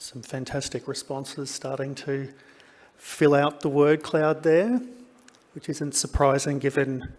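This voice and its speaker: A man hosting a conference session, relaxed and with many fillers. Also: mid-range voice, slow, big-room sound. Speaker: event host.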